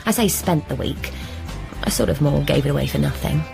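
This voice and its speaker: A brisk British woman in a radio comedy drama, deadpan and self-assured. She narrates with dry, literal wordplay over prominent music. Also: low voice, vocal fry. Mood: wry narration. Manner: deadpan, wordplay-loving, assertive. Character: brisk, dry-witted, self-appointed guardian of London, narrating.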